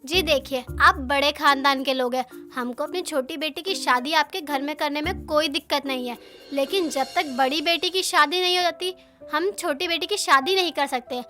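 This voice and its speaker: A woman's voice: high-pitched, normal speed, pushed and bright, soft background music. A woman voicing a proper, easily indignant middle-aged mother in a cartoon story, formal and firm. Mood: polite but firm. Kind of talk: Hindi cartoon story voice acting.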